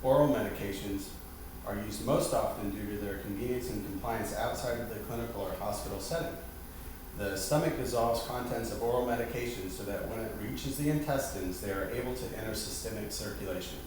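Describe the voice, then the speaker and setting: A man with a slightly low voice delivers a scripted lesson in a formal, instructional way. He sounds far from the microphone in a very echoey space, with clear background noise. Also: fairly quick. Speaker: lesson presenter quizzing a partner. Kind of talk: scripted educational presentation, read out. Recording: very echoey, distant mic, noisy background.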